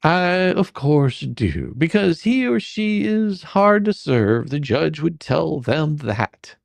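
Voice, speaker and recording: A performer playing a bumbling, sarcastic questioning attorney in a comedic deposition reading, halting and theatrical. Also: medium pitch, sing-song, creaky, no echo, heavily acted. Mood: probing, sarcastic. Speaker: dramatic reader.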